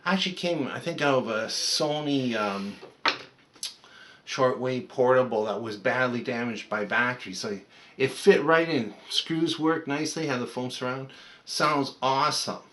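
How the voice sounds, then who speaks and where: Guy with a bright mid-pitched voice, chatting thru a repair job off the cuff, kinda rambly hobbyist talk. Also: measured pace, slight background noise. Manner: conversational, rambling, talks through steps. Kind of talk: casual unscripted repair walkthrough.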